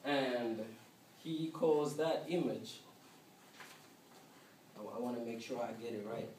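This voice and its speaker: A man from Uganda with a Ugandan accent giving an informal class presentation, repeating words haltingly as he goes. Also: mid-range voice, a little mellow. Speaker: student presenter. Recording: noisy, echoey room, distant mic.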